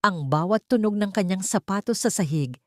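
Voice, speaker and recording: A woman narrating a scripted audio story in a deliberate, suspenseful, theatrical way. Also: medium pitch, lively intonation, no room sound, clean studio sound.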